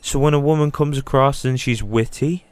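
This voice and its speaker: A man's voice: medium pitch, normal speed, smooth, no echo. A man talking casually and candidly in a rambling, sweary monologue, as if chatting one-on-one with a friend.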